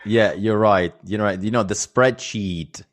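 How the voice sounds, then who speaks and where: An Italian man with an Italian accent hosting a podcast interview, halting and searching for words as he sums up points. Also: lowish voice. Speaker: podcast host. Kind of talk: interview chat.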